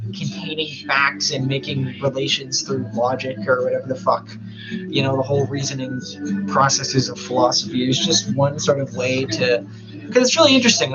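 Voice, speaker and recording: A man's voice: mid-range voice, lo-fi sound. A young man from Canada musing aloud in a rambling, casual live-stream monologue, swearing freely and full of fillers.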